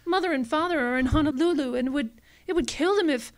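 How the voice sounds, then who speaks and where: A woman's voice: high voice, brisk, lightly acted. An actress playing a polite, anxious young woman client in a detective radio drama, hesitant and pleading. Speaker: actor. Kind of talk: hard-boiled radio drama acting.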